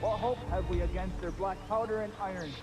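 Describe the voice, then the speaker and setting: An actor as a high-voiced, anxious councilman. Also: steady tone, fairly quick, over music, natural voice. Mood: worried. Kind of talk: dramatic video game cutscene acting.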